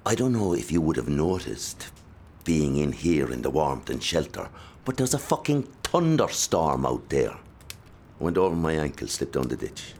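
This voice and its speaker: A man's voice: rumbling low, very animated, moderate pace, hardly any pauses, a little mellow, a little hiss or hum, full character voice. An actor playing a domineering, brutal father who bullies his sons, speaking with a sneering, menacing manner. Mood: mocking.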